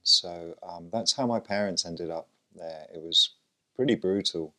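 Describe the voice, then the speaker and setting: A man with a very low voice, born in Lebanon, answers interview questions in a hesitant, searching way. His sentences stall on fillers and restarts as he works through each thought. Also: measured pace, pushed and bright. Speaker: guest. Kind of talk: conversational interview.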